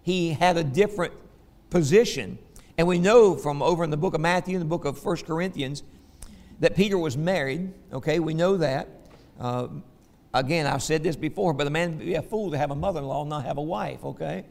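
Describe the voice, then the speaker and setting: A man with a Southern American accent preaches a folksy sermon to his congregation. His voice sits slightly high and carries a gravelly edge. The sound rings with the long reverb of a large church hall. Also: a little fast, darkish tone. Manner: folksy, asks the congregation "okay?" often.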